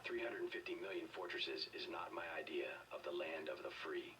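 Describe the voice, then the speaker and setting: An actor playing a stern, loyal government agent in a video game, speaking in a clipped, serious way. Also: male voice, very deep voice, moderate pace, pushed and bright, far from the mic, a little hiss or hum, rough recording. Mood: stern, firm. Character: stern, loyal male government agent, gruff defender of the state.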